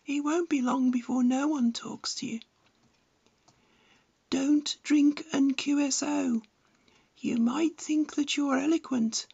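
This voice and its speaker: A woman's voice: sits high, gravelly, darkish tone, muffled recording. A woman reading scripted instructional narration in a blunt, didactic manner. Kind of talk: scripted narration, tutorial with opinionated advice.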